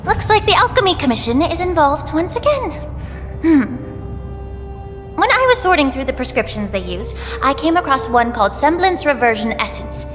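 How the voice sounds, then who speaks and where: A woman voicing a calm young alchemist in a game, explaining things in a gentle, measured way. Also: high-pitched. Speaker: game character. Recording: game audio with long reverb, music.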